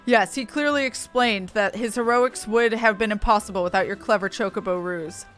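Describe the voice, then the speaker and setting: A woman's voice: medium pitch, moderate pace, a bit bright, soft background music, close to an everyday voice. A woman voicing a hearty, effusive officer with grandiose formal speech in a fantasy game.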